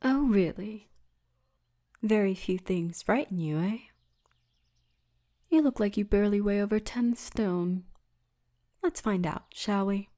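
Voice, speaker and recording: A towering orc militia woman, played by a female voice actor in an intimate ASMR roleplay. She speaks slowly in a mellow, relaxed tone at a medium pitch. Her manner is confident and teasing, with a formal turn of phrase. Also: close to an everyday voice. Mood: teasing, playful. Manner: teasing, confident, formal turns of phrase, often breathy murmur.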